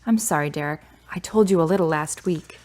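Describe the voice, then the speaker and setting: A woman's voice: low voice, fairly quick, darkish tone, close to an everyday voice. A woman playing a candid, reflective, grieving daughter of about thirty in a radio drama. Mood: subdued, candid.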